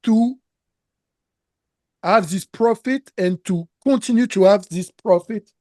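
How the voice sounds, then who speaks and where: Slow, earnest French-accented man. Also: sits high, darkish tone, dry recording, clean studio sound. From Canada. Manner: slow, earnest, emphatic, with non-native grammar slips. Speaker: guest, business coach. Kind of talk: interview conversation.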